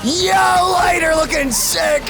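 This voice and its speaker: A young adult hosting a reaction video with excitable casual commentary full of gamer slang. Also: extremely high pitch, slow pace, gravelly, shouting, music in the background.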